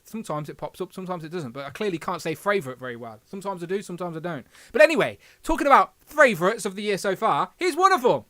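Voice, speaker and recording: A man's voice: high voice, sing-song, fast talker, hardly any pauses, fairly bright tone, no room sound. A man with a lisp hosting a casual live stream chat, rambling and joking at his own expense. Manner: chatty, rambling, self-deprecating.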